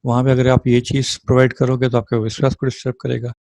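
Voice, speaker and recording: A man's voice: mid-range voice, monotone, fast, gravelly, darkish tone, no echo. A man lecturing on physiotherapy in an informal, explanatory way, mixing in English terms and checking in with short rhetorical questions.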